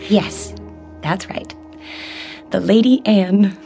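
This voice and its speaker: A woman's voice: medium pitch, slow, creaky, mellow, faint music behind. An actress playing an eager, determined married woman in a radio drama. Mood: determined, impatient.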